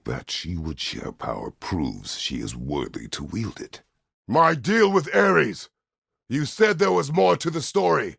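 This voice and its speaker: An actor playing a stern, weary, middle-aged Greek war god in a video game, speaking tersely and formally with a gravelly, husky voice. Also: male voice, very deep voice, lively intonation, measured pace, few pauses, no echo, studio quality, heavily acted. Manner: terse, grave, formal phrasing without contractions. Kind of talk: dramatic video game voice acting.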